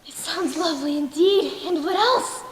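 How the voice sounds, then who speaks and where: Young stage princess, played by a young woman: courteous, inquisitive, very high voice, reverberant hall. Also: normal speed. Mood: curious. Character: polite, curious young princess content at home. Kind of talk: stage play acting. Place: school stage play in a large hall. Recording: echoey hall, faint noise.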